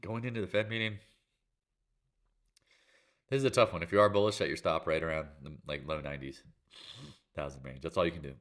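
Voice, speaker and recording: A man's voice: deep voice, fairly quick, pauses often, a bit bright. An American man with a head cold gives a casual market recap as host, in clipped, slangy phrases with a congested nose and throat. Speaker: channel host, trader and real estate agent.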